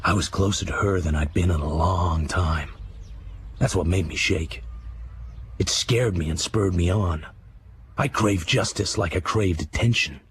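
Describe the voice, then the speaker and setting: An actor playing a haunted, obsessive middle-aged crime writer, narrating his dark memoir in terse confession with a gravelly, husky American voice. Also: low voice, a little slow, full character voice. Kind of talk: scripted first-person crime memoir narration.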